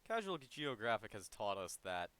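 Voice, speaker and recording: A man's voice: on the low side, sing-song, moderate pace, fairly bright tone, smooth. A man co-hosting a casual live stream, dropping wry, dry remarks. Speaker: stream co-host.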